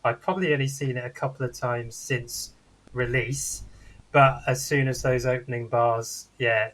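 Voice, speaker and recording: A man's voice: medium pitch, fairly bright tone, low-quality recording. A man chatting casually and enthusiastically as a co-host reviewing films, in a rambling way. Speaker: podcast co-host and film reviewer. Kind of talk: casual film review chat.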